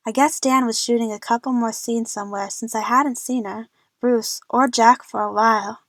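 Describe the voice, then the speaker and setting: A woman's voice: fairly high pitch, no echo. A woman narrating a first-person story as the lead of an audio drama.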